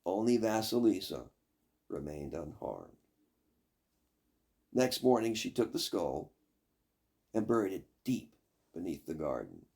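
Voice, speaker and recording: Older male storyteller: unhurried, very animated pitch, dramatic fairy-tale reading. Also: vocal fry, slightly rough. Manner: dramatic, deliberate. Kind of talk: expressive scripted fairy-tale narration.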